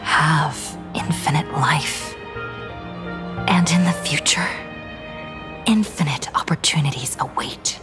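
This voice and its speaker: An actress playing a cool, enigmatic young woman in a game, serious and measured. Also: sits low, music in the background. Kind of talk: scripted game dialogue.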